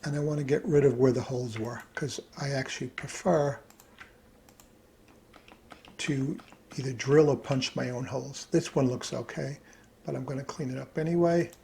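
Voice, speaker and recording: Man, gravelly voice, casual how-to narration, thinking aloud, faint background noise. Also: mid-range voice, normal speed. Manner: thinks aloud while working at computer. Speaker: hobbyist presenter. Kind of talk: casual screen-recorded tutorial narration. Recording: steady background noise, keyboard clicks.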